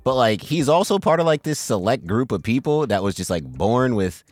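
A man's voice: mid-range voice, moderate pace, no echo. A man co-hosting a podcast in casual chat, filling his talk with "like" and laughing often. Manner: lots of "like", laughs often, lively. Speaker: podcast co-host.

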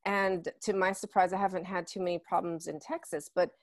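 Chatty low-voiced woman host, dry sound. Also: level tone, a little fast, no room sound. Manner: chatty, lots of filler words. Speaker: podcast host. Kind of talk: podcast interview chat.

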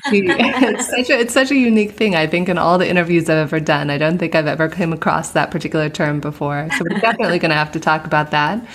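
A woman's voice: low voice, fast, hardly any pauses. A woman hosting a podcast interview, introducing her guest in an enthusiastic, upbeat way. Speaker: podcast host.

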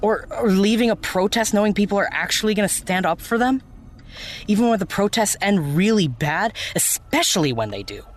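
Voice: medium pitch, lightly acted. An actor playing an earnest, hurt young activist in an audio drama, pleading in a casual, sweary, naturalistic way. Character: earnest, hurt young activist who runs a protest blog.

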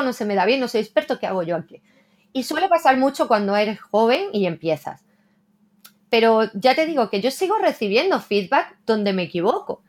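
A woman translator talking reflectively in a casual interview, often tagging her sentences with a questioning "¿no?". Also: on the high side. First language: Spanish. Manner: reflective, frequent "¿no?" tags.

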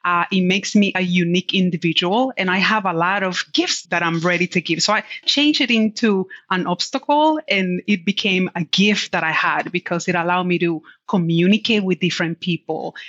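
A woman from the Dominican Republic, a native Spanish speaker with a Dominican accent, telling her story as a podcast guest in a candid, animated way. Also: medium pitch, slightly rough, no room sound. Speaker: guest, tech executive. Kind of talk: conversational podcast interview. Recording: dull lo-fi audio.

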